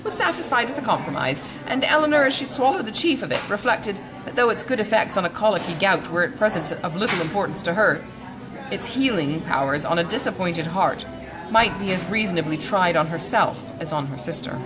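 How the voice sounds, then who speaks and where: Female narrator for a classic novel, scripted reading with lively pitch movement over music. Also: a little fast. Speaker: audiobook reader.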